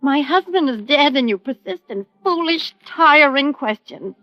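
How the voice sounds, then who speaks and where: An actress playing a defensive, impatient widow in an American radio drama, speaking in a clipped, indignant manner. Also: female voice, high-pitched, lively intonation, unhurried. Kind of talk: 1950s radio drama acting. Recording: dull old radio recording.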